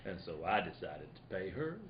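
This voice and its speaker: A man playing a cheeky, bumbling British detective in a comic radio play, halting and mock-formal, with a British accent. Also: low-pitched, sing-song. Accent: put-on British. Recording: dull, roomy live recording.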